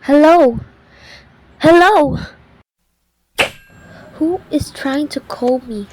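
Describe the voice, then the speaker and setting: A high-voiced, bedridden woman played by an actress in a radio thriller, nervous and imperious. She complains in fretful, haughty exclamations, with full melodramatic agitation. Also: very slow, noisy background. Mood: frantic.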